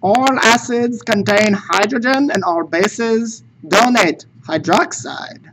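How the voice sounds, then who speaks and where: A man's voice: high voice, slow, noisy background, voice pushed up. A man voicing a male scientist with a ridiculous put-on French accent. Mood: pompous.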